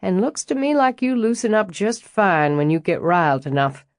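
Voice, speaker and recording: A woman's voice: medium pitch, sing-song, moderate pace, no room sound, clean studio sound, natural voice. A woman doing a teasing man, easy drawl. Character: easygoing flirtatious southern man, teasing landscaper.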